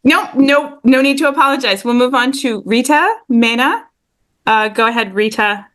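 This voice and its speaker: A woman's voice: on the high side, expressive, normal speed, fairly bright tone. A woman chairing a public meeting over a video call, upbeat and effusive, with frequent fillers. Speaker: committee chair.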